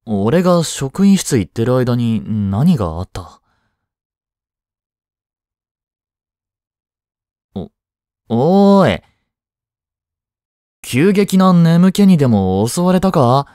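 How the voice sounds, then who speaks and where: Teen schoolboy boyfriend, played by a young man: teasing yet tender, unhurried, lively intonation, intimate voice drama. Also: medium pitch, no room sound, clean studio sound. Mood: casual concern. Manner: casual, soft, hesitant pauses. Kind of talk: Japanese boyfriend voice drama, intimate one-sided dialogue.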